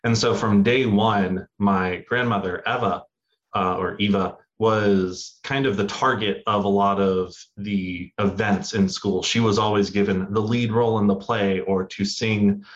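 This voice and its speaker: A man's voice: lowish voice, steady tone, a little slow. Young male guest on muffled audio. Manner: earnest storytelling with fillers. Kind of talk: interview answers. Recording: dull lo-fi audio.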